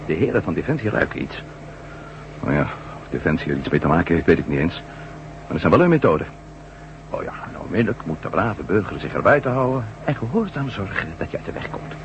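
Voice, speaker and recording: Wanted: sardonic, headstrong newsman for radio drama. Low voice, very lively intonation, wry and indignant. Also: brisk. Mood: bitter, indignant. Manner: indignant, wry, theatrical delivery. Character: headstrong, sardonic male ex-astronaut turned newspaper reporter. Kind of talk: radio drama acting in Dutch. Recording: muffled radio drama recording, clear hiss and hum.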